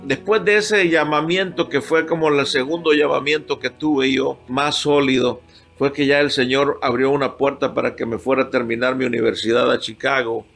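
An older man from Honduras, a pastor, telling his calling in a heartfelt, halting way, moved by the memories. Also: medium pitch, steady tone, creaky, fairly bright tone, soft background music. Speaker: pastor guest. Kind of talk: emotional personal testimony.

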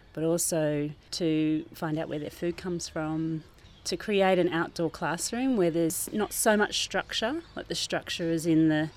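Low-voiced New woman, earnest. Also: a little mellow. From New Zealand. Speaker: school garden teacher. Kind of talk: informal interview, reflective explaining. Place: outdoors, under a gazebo in a school garden.

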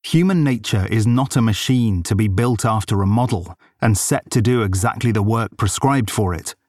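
A man narrating an audiobook, reading quotations in a deliberate, formal delivery. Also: fairly low pitch, a little mellow, dry recording, clean studio sound.

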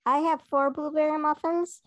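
A young adult woman co-hosting a podcast, laid-back and low-key in casual chat. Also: level tone, normal speed. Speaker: podcast co-host.

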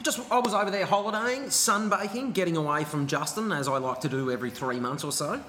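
A man's voice: a little high, fast, bright, forceful tone, slight background noise. An Australian man co-hosting a sports podcast with casual, chatty banter. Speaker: podcast co-host.

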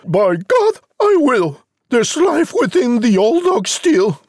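A man voicing a hot-tempered mother, scolding and exclaiming in a high, shrill voice. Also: big pitch swings, takes it slowly, dry recording, studio quality, exaggerated voice. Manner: exclaiming, scornful.

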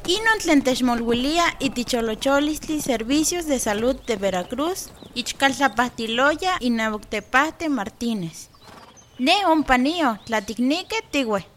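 A village woman in a radio drama. Her voice is high, with faint noise behind it. She is a practical, reassuring friend who gives advice. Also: animated, a little slow, fairly bright tone. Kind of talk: radio soap opera acting.

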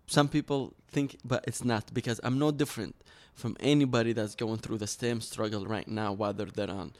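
A man's voice: fairly low pitch, fairly even intonation, normal speed, darkish tone. Earnest man telling his story. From Iraq. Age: 30s. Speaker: interview guest. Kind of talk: personal storytelling in an interview.

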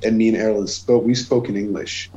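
Swedish guy in his 40s, lowish voice, keeps restarting sentences, dull lo-fi sound. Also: fairly even intonation, fairly quick, background noise. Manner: rambling, many restarts and repeated words. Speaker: guest musician. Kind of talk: casual conversation.

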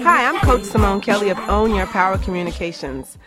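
A woman's voice: mid-range voice, lively intonation, brisk, loud music behind the voice. A woman coach reading a scripted radio promotional spot.

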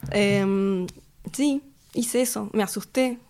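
A woman answers interview questions about her music. She speaks thoughtfully and hesitantly, often pausing on fillers and restarting her sentences, and laughs easily between thoughts. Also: medium pitch, a little mellow, clear, resonant tone. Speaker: musician and record producer. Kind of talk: casual interview conversation.

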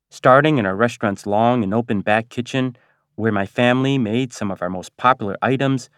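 A man's voice: mid-range voice, no room sound, studio quality. An American man narrating his own memoir as a scripted audiobook. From Detroit, United States. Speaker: author reading own memoir.

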